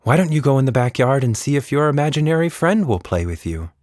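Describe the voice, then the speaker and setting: A man voicing a busy storybook mother, patient but firm, flat and gently dismissive. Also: mid-range voice, quick pace, dry recording, studio quality, pitched above the performer's own voice, close to an everyday voice. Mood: gentle suggestion.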